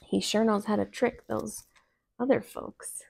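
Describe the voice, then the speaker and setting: A woman in her thirties narrating a children's story aloud in an expressive, deliberate way. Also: medium pitch, vocal fry, husky. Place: small living room, on a couch.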